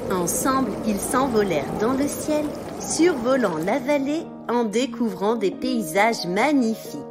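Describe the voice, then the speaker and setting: Lively high-voiced woman storyteller. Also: a little slow. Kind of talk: scripted children's story narration. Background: music.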